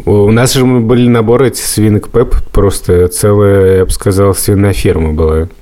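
A man's voice: lowish voice, steady tone, moderate pace, dark tone. A middle-aged man hosting a podcast, telling stories in a casual, reflective, conversational way. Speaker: podcast host. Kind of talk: casual chat.

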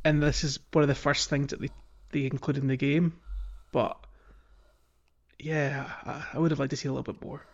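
A man hosting a podcast, chatting casually about a video game and thinking out loud as he goes. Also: medium pitch, flat delivery, normal speed, gravelly, long pauses, highs cut off. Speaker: podcast host.

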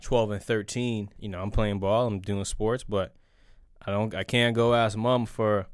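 A young man with a low, smooth voice hosts a casual interview, talking openly and loosely with fillers and restarts. The sound is close and dry, with no room echo. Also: unhurried. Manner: relaxed, candid, frequent fillers and restarts. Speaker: podcast host.

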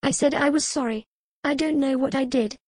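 A woman's voice: high voice, brisk, a little mellow, dry recording, clean studio sound, pitched above the performer's own voice, natural voice. A woman voicing an earnest, anxious little girl of about seven in an audiobook. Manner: pleading, worried.

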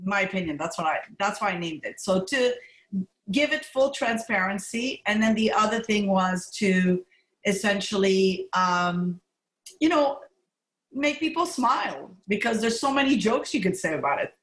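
A woman's voice: mid-range voice, animated, moderate pace, fairly bright tone, roomy sound. A middle-aged woman gastroenterologist who grew up in Montreal, talking candidly and with laughs in a podcast interview. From Montreal, Canada. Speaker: gastroenterologist guest.

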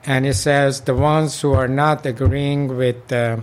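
A man's voice: medium pitch, flat delivery, a little slow. An older man from Iran, a local club president, recalling his past in an oral history interview with many fillers and restarts.

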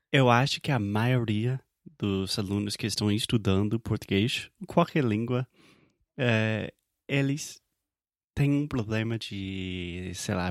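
An American man, a native English speaker, co-hosting a casual podcast and speaking Portuguese as a learner, relaxed and thinking aloud with fillers. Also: lowish voice, dry recording, clean studio sound. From United States. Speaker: podcast co-host, English teacher.

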